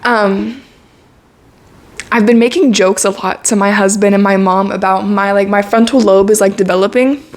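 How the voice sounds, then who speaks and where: A woman's voice: medium pitch, fairly even intonation, moderate pace, a little mellow. A woman in her twenties talking to camera in a casual, reflective monologue, candid and earnest, with slang and frequent laughs. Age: 20s. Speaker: vlogger.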